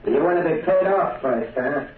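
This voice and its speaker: A man voices a pushy, shady contractor in an old radio play. He is blunt and impatient, with a fairly high male voice, echoey and phone-like in sound. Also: lively intonation, normal speed, natural voice. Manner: brusque, pushy. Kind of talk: 1930s radio drama acting. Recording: echoey, distant, narrow-band old radio recording.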